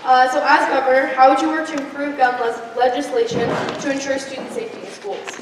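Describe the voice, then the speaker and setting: High-voiced student asking a question. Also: female voice, steady tone, fairly quick. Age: teens. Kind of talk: reading a question. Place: large school gym, indoors. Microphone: handheld mic. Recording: echoey gym from far off, noisy.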